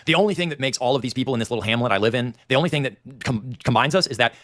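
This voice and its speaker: A man's voice: mid-range voice, racing, a bit bright, no room sound, studio quality. A man with a nasal voice and a Dayton, Ohio Appalachian accent, hosting a livestream and ranting in opinionated, digressive commentary. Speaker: livestream host.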